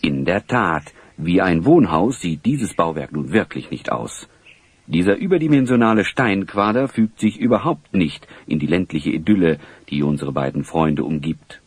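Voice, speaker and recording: A man narrating an adventure radio play as a scripted storyteller with measured diction. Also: deep voice, big pitch swings, slightly rough, dry recording.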